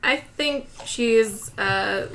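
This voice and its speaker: Woman at a tabletop game, casual, highish voice, faint hiss behind her. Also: very slow, fairly bright tone. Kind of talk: casual improvised chat.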